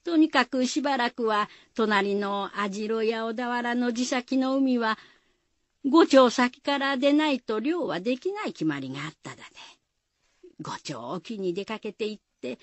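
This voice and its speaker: Lively folksy dialect storyteller. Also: female voice, fairly high pitch, measured pace, no room sound. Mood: storytelling. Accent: rural Shizuoka dialect. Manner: homely, conversational storytelling. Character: folksy storyteller of village tales. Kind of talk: dialect folktale narration in a radio drama.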